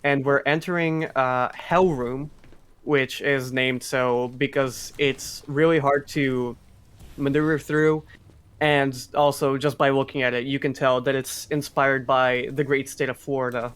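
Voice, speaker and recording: A man's voice: mid-range voice, level tone, measured pace, a bit bright, smooth. A man giving casual live commentary on a speedrun, explaining as he goes in a hesitant, restarting way.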